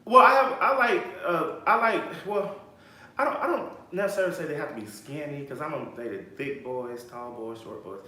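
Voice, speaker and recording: A man's voice: fairly high pitch, a little fast, pushed and bright. Blunt young man in an echoey room. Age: 20s. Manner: candid, blunt, swears freely. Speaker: podcast guest. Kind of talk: casual interview chat.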